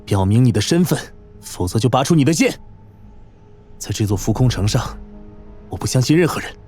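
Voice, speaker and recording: A man playing a naive, kind-hearted young wandering hero in an animated drama, expressive and earnest. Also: medium pitch, brisk, natural voice. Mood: wary. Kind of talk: animated audio drama acting. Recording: background music under the voice.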